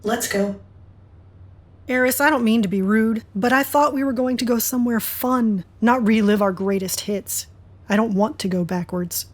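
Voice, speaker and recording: A woman's voice: a little high, normal speed, close to an everyday voice. A woman acting in an audio drama as a defiant, sarcastic woman with a teasing, flirty streak. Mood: wry, guarded. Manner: sardonic, teasing.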